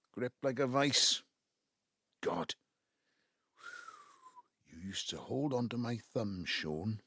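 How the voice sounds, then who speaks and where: Husky, low-voiced Welsh patriarch. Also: male voice, a bit bright, dry recording, studio quality, exaggerated voice. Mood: tender. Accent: South Wales Valleys. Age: 70s. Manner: halting, reflective, breaking into low muttering. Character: embittered ageing Welsh patriarch, wistful and self-justifying. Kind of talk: naturalistic audio drama monologue.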